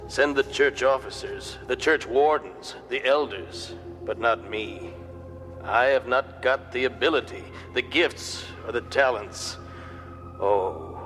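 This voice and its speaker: An actor playing a fervent, plain-spoken 19th-century evangelist, preaching emphatically and deliberately in an audio drama. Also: male voice, mid-range voice, a bit bright. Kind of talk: dramatised sermon. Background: music.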